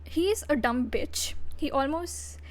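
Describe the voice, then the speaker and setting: A woman's voice: high voice, expressive, slow pace. A woman VTuber giving a casual livestream review, blunt and profane, breaking into giggly asides.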